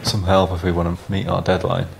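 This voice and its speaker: A man talking informally and haltingly, unscripted, as a documentary participant. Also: deep voice, monotone, creaky, a little hiss or hum. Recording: music behind the voice.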